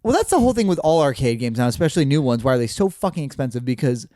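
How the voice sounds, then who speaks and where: A man co-hosting a casual podcast, reacting with quick questions and what-if musings and casual swearing. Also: mid-range voice, big pitch swings, dark tone, no room sound, clean studio sound. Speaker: podcast co-host.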